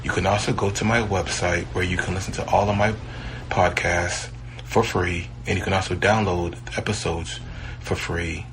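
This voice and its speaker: A man's voice: low-pitched, steady tone, normal speed, fairly bright tone, a little hiss or hum, rough recording. A man hosting a podcast, delivering a scripted sign-off to listeners. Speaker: podcast host.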